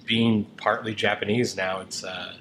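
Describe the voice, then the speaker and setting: A man's voice: on the low side, flat delivery, unhurried, fairly bright tone. Casual man chatting, noisy background. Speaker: show co-host. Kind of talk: casual chat. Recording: noticeable background noise.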